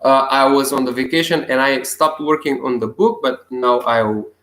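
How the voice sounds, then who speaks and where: A young man from Bulgaria with a Bulgarian accent, talking casually to camera in halting English with many restarts and chuckles. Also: medium pitch, fairly bright tone, echoey room, low-quality recording. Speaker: self-healing vlogger.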